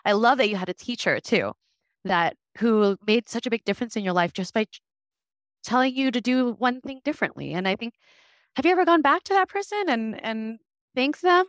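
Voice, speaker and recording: A woman's voice: mid-range voice, smooth, no room sound. A woman podcast host whose first language is Vietnamese, talking with a guest in an affirming, empathetic way. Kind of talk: casual interview chat.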